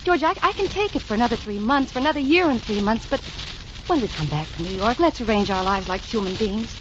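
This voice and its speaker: A romantic young socialite woman who pleads and frets, played by an actress. The delivery is 1940s radio drama acting. The recording is dull, with clear noise over it. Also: a little high, expressive, fast, natural voice. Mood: pleading, frustrated.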